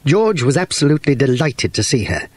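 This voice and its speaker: A man's voice: medium pitch, very animated, fairly quick, a little mellow. A man narrating a children's mystery story as a scripted audiobook. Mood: storytelling.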